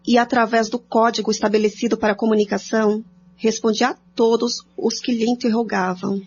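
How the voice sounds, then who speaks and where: A woman's voice: on the high side, flat delivery, fairly quick, a little mellow, a little hiss or hum. A woman with a Brazilian accent narrating a radio drama, telling the scripted story directly to listeners. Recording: dull lo-fi, faint music underneath.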